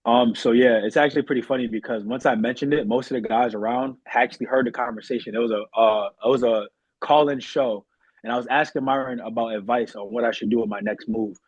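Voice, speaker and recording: A man's voice: mid-range voice, steady tone, quick pace, husky, dry recording, dull audio. A young man from Massachusetts talking earnestly and politely as a guest in a casual interview. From Massachusetts, United States. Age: young adult.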